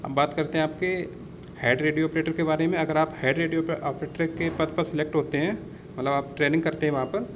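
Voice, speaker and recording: A man's voice: a little high, steady tone, brisk. A man giving an informal explainer lesson as an instructor, repeating himself, addressing listeners as friends and mixing in English words. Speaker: exam-prep instructor. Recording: clear background noise.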